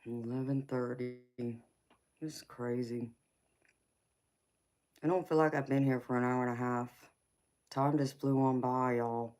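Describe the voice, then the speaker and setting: Very low-voiced Southern woman in her forties. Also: fairly even intonation, measured pace, pauses often, darkish tone. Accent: Southern American. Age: 40s. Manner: folksy, calls chatters baby and honey. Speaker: tarot reader answering live chat. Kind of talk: casual livestream chat.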